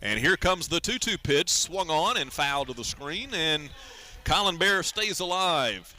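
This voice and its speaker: An American man calling a baseball game as a radio play-by-play announcer, in a measured, descriptive way. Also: on the high side, expressive, bright, forceful tone. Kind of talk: live sports radio commentary.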